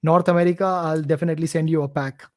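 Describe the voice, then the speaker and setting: A man's voice: on the high side, fairly even intonation, fast talker, darkish tone. A man, talking eagerly as a guest on a live show. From India. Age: 30s. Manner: eager, talkative, promotional. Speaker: guest, course creator. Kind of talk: casual live-stream interview. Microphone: computer mic on a live video stream.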